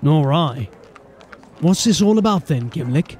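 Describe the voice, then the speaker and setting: A man playing a determined, embattled police sergeant in a dramatic audio drama, speaking earnestly and deliberately. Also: a little high, lively intonation, darkish tone, a little hiss or hum. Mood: guarded, wry.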